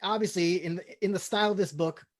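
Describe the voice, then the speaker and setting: A man hosting a comedy table read, talking casually and reading out stage directions in deadpan style. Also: high-pitched, a little fast.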